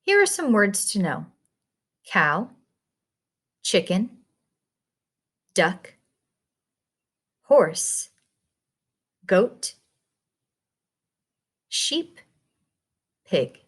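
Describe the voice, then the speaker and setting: A woman reading a children's picture book aloud in a simple, deliberate, instructive way, putting questions to the listener. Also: mid-range voice, big pitch swings, a bit bright, husky.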